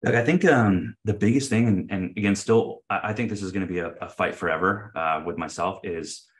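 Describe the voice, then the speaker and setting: A man's voice: low voice, fairly quick. A man in his thirties, a company chief guesting on an interview show, speaking earnestly with many fillers and restarts. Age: 30s. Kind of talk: casual interview talk.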